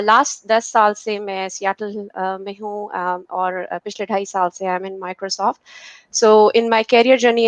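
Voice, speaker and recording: Woman, 30s, panelist, flat and fast, dull dry call audio. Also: medium pitch, no room sound. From Pakistan. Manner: fast, mixes Urdu and English. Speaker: panelist, tech professional. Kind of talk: introducing herself on a virtual panel.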